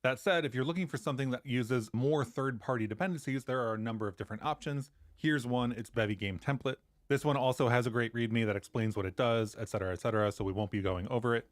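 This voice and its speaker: A man presenting a software tutorial in a conversational narrating style. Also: medium pitch, fast talker, few pauses, dry recording, clean studio sound.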